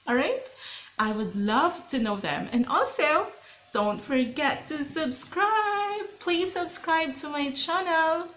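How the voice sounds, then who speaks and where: A woman's voice: high-pitched, lively intonation, slow, fairly bright tone, roomy sound, a little hiss or hum. A young Filipino woman with a Filipino accent, chatting upbeat and playful in a casual vlog to camera in a small bedroom. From Philippines. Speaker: vlogger.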